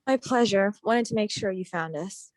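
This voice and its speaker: A woman's voice: mid-range voice, moderate pace, a little mellow, no room sound, lightly acted. A woman acting a blunt, teasing young emergency medical technician in a screenplay table read. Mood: friendly.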